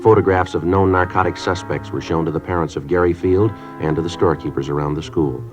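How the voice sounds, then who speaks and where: An actor playing a stern middle-aged police sergeant who narrates in a clipped, matter-of-fact way. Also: male voice, low voice, steady tone, quick pace, natural voice. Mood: flat narration. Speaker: detective narrator. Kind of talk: 1950s radio acting. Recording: dull old radio recording with music.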